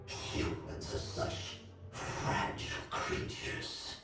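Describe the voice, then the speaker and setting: A man voicing a suave, menacing nightmare monster in a video game, with theatrical, polished delivery. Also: extremely slow. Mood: smug, menacing. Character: suave male nightmare monster, last of its kind. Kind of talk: scripted video game acting. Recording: echoing, distant, music behind.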